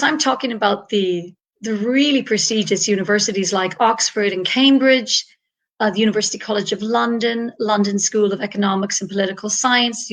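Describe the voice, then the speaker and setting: A woman's voice: medium pitch, low-quality recording. A woman from Ireland, an English tutor talking on a video call in a chatty, upbeat way with many fillers. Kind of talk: online panel talk.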